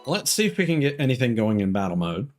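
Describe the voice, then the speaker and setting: Lively-pitched man streaming games, music behind. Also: fast talker. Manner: thinks aloud, mild swearing. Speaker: video game live streamer. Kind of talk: casual live gameplay commentary.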